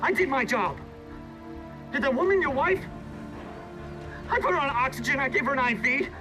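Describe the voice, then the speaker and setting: A man playing an anxious government research scientist in a hazmat suit, with halting, stammering speech, in cinematic video game acting. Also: very high-pitched, expressive, music in the background. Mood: desperate, defiant.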